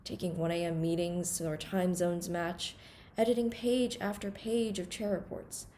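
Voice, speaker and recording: A teenage woman from Hong Kong delivering a prepared welcome speech at a student conference in an earnest, formal, measured way. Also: fairly low pitch, fairly even intonation, warm tone, a little hiss or hum. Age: teens. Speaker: conference undersecretary general giving a welcome speech.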